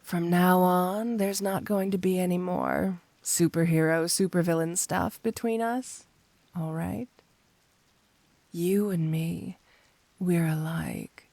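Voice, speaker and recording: Slow, creaky, flirty supervillainess, acted by a woman. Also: fairly low pitch, steady tone, dry recording, heavily acted. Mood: flirty, tender.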